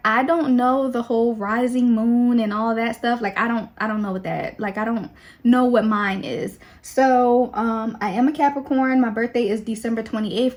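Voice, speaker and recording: A woman, chatting casually to the camera about herself while she does her makeup. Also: on the high side. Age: 20s. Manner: chatty and relaxed, with many fillers. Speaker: beauty vlogger.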